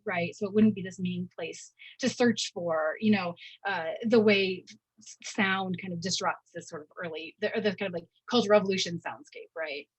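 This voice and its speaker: A woman giving an informal academic lecture, speaking haltingly and often checking in with "right?". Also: lowish voice, breathy edge, no echo, rough recording. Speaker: university professor giving a lecture.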